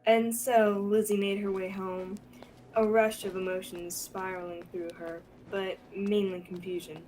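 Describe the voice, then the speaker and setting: A woman's voice: medium pitch, little pitch movement, unhurried, darkish tone, soft background music, low-quality recording. A young woman reading scripted narration for a radio theatre play. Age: young adult.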